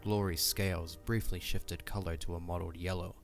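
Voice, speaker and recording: A man's voice: low voice, little pitch movement, normal speed, creaky, over music. A man reading scripted narration for an audio drama as its narrator.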